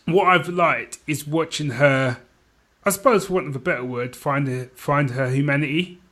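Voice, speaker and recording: Bright-voiced British man, slightly high. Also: moderate pace. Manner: informal, checks in with co-host. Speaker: podcast co-host. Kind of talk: casual chat.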